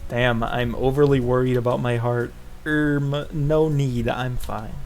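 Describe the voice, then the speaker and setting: A man's voice: mid-range voice, steady tone, unhurried, a little mellow, faint music behind. A man casually reading a visual novel aloud in the first person, breaking off into joking asides. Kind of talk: casual game read-along, narrating in first person.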